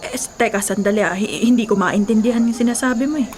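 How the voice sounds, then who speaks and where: An actress playing a scheming middle-aged aunt with a Filipino accent in a radio drama, evasive and dismissive. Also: female voice, a little high, quick pace, natural voice. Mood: feigned friendliness. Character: scheming, grasping aunt brushing off her questioning nephew.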